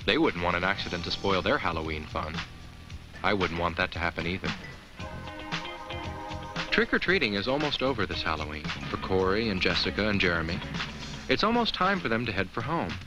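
An actor playing a kindly, earnest talking jack-o'-lantern who narrates a children's safety film with simple, reassuring explanations. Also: male voice, low-pitched, big pitch swings, fairly quick, pauses often, over music. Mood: friendly.

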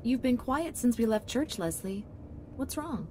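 A woman playing a gentle, patient mother in an audio drama, soothing and reassuring. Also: fairly high pitch, sing-song, unhurried, noisy background. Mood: gentle, consoling.

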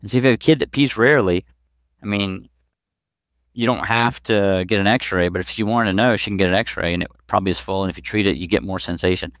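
He is a man in his forties with a low, resonant voice. He talks like a doctor on a podcast, informally walking through his subject with everyday examples. The headset sound is close and dry. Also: fast, fairly bright tone, dry recording. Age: 40s. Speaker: pediatric urologist, podcast guest. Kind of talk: conversational expert explanation. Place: small clinic office, video call. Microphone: headset boom mic.

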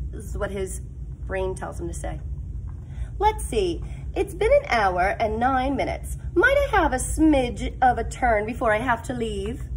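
A middle-aged woman, a teacher reading a picture book aloud to young children with expressive, drawn-out emphasis and explanatory asides. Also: mid-range voice, slight background noise, low-quality recording. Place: school classroom, indoors.

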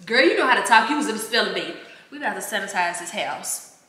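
A woman's voice: mid-range voice, animated, fast talker, heavy background noise. Sniffly, chatty woman in an echoey kitchen. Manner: chatty, slangy, swears freely. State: sniffly with a cold. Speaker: vlogger. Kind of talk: casual chat to camera. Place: home kitchen, indoors. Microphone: camera on a counter, mid-distance.

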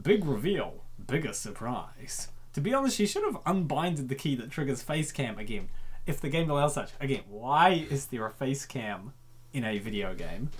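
A host reacting to and reading a comic aloud in an excitable, dramatic way, often repeating phrases. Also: mid-range voice, moderate pace, slight background noise. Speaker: host of comic dub show.

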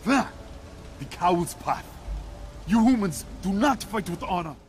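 Proud alien warrior, stern, distrustful, dynamic intonation, game cutscene, light background music. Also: male voice, high voice, animated, unhurried. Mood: scornful. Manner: stern, terse, formal pronouncements. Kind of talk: video game cutscene acting.

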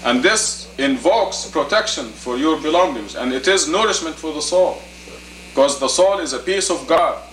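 A man in his fifties giving a sermon as an imam, deliberate and emphatic, in short phrases and rhetorical questions. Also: a little high, lively intonation, fairly bright tone. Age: 50s. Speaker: imam, preacher. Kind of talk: sermon, teaching plainly to a congregation. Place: indoor meeting room. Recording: roomy, distant camcorder audio with faint noise.

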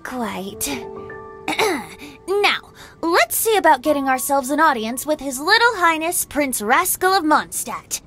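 Bright, boyish young bard. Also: female voice, high-pitched, very animated, slow pace, faint music behind, heavily acted. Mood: sheepish, theatrical. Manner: airy, theatrical, flowery. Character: youthful boyish male bard, playful and theatrical. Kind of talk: video game character dialogue.

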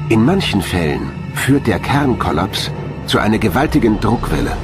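A man's voice: lowish voice, big pitch swings, moderate pace. A man narrating a science documentary from a script, as the narrator of the programme. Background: background music.

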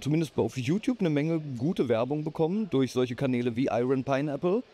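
Male guest, warm mellow voice, animated intonation, casual. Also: medium pitch, a little fast. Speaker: panel guest. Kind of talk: live panel chat about games.

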